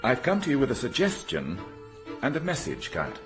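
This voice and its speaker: An actor playing a solemn aristocratic Freemason sponsor in a radio drama, ceremonious and measured. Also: male voice, mid-range voice, wildly swooping pitch, over music. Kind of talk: British radio drama acting.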